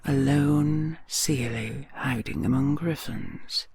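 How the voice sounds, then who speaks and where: Woman acting a slow, husky, low-voiced dragon queen. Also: darkish tone, heavily acted. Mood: measured suspicion. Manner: slow, theatrical, imperious endearments. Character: regal, possessive she-dragon queen, commanding yet tender. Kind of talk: audio roleplay acting.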